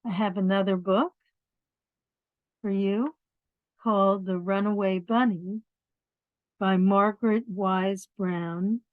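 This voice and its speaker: A woman reading picture books aloud to young children, expressive, pausing to explain things in simple words. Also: mid-range voice. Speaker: children's storyteller. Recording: dull, roomy lo-fi sound.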